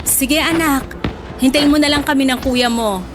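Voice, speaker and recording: Actress as high-pitched Filipino mom, firm and fond, emphatic soap-style radio drama over music. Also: female voice, normal speed, close to an everyday voice. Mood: soothing. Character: stern but affectionate Filipino mother. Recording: music bed behind the voice.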